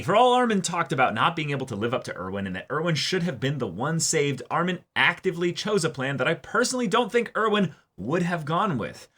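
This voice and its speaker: A man's voice: on the high side, fairly bright tone, breathy edge. A man hosting an anime reaction show, reading viewer comments aloud and discussing them casually with emphatic delivery.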